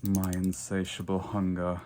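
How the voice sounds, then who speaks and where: Man playing a low, slow, haughty vampire. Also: monotone, a little hiss or hum. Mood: haughty, smug. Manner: soft, slow, deliberate, with whispered asides. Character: haughty, domineering, playful male vampire lord pampering his captive. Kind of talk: ASMR roleplay.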